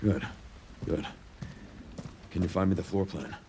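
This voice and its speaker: A grim male agent gives terse, clipped orders in a very deep voice. He sounds like he is on a hunt. The game audio carries a steady hiss underneath. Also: measured pace.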